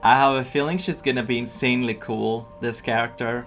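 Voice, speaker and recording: Male game streamer, average pitch, casual commentary, musing, halting, faint background music. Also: steady tone, fairly bright tone. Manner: musing, halting, thinking aloud. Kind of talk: casual playthrough commentary, some reading of dialogue.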